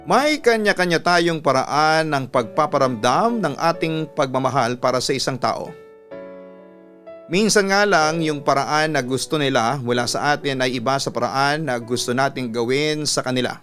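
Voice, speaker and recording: A Filipino man reads a personal letter in the manner of a radio storyteller. His voice sits at a medium pitch. Instrumental music plays behind him throughout. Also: measured pace, fairly bright tone. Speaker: radio announcer and storytelling host. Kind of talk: scripted narration of a listener's letter. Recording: music bed under the voice.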